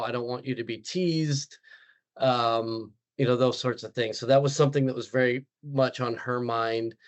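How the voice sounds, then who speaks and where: An American man hosting a podcast, joking easily and talking loosely with many fillers. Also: medium pitch. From USA. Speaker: podcast host. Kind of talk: casual interview chat.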